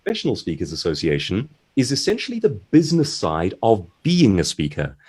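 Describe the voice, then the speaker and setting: Male seminar speaker, husky and highly animated, articulate explainer with a conversational, instructive approach. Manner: articulate, explanatory, measured phrasing. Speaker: author and speaker giving a writing seminar. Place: online video-call seminar.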